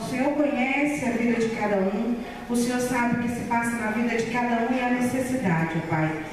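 Woman leading closing prayer, fairly high voice, distant and echoey. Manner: steady, flowing sentences ending on "ó Pai". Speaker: church member leading prayer. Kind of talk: spoken congregational prayer. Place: large church hall. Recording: echoey church hall, distant mic, background noise.

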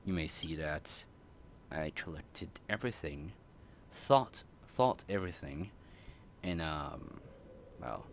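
A man's voice: a bass voice, lively intonation, slow pace, vocal fry, slight background noise. A man casually commenting over his gameplay, rambling and hesitant with many fillers and restarts. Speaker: gaming streamer. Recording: game music loud behind voice.

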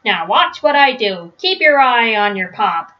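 A woman's voice: on the high side, big pitch swings, a little slow. A woman voicing a boastful, overconfident father bear from a storybook, showily instructing his son with emphasis. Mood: eager, boastful. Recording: dull, lo-fi webcam sound.

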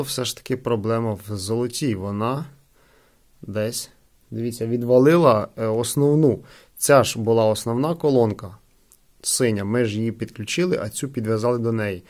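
A man's voice: medium pitch, moderate pace, darkish tone. A man reviewing gadgets in a casual, explanatory hands-on style, often repeating himself. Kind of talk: casual hands-on product demonstration. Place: indoors at a wooden table.

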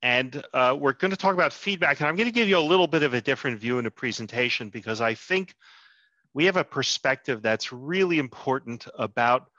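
A man's voice: medium pitch, animated, a little fast, sharp tone, no echo. A man in his sixties opening a presentation on a video call through a headset, easygoing with fillers. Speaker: guest speaker. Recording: muffled video call audio.

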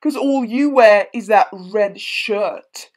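A woman's voice: medium pitch, slow, a little mellow. A woman voicing a snarky, mocking cartoon pig in an amateur cartoon.

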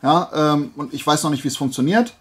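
A man's voice: fairly high pitch, fairly quick. A man hosting a casual YouTube haul vlog, talking informally in a rambling way as he thinks aloud. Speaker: YouTube host.

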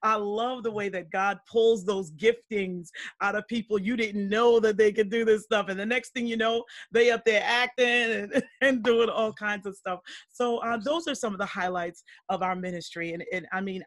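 A woman's voice: mid-range voice, no room sound. Middle-aged woman, church host on a video call, dry close sound, eager and affirming. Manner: warm, enthusiastic, frequent affirmations. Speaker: interviewer, church member. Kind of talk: casual church interview. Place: home room with teal wall.

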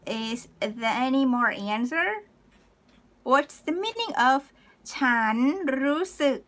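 A woman in her thirties teaching a live online language lesson from a small room, cheerful and laughing often as she reads out viewers' comments and repeats words slowly. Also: a little high, sing-song, fairly bright tone. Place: small room indoors, plain wall.

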